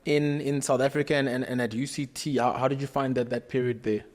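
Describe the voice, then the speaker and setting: A man co-hosting a business podcast, relaxed and slightly hesitant. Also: medium pitch, fairly quick, big-room sound. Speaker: podcast co-host. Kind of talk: hosted interview.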